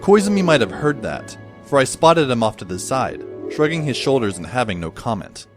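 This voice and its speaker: A man playing a wry, introspective schoolboy who narrates an audio drama in a dry, measured, literary way. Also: medium pitch, sing-song, music in the background, close to an everyday voice. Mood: calm, reflective. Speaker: narrator and lead character. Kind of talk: first-person scripted audio drama narration.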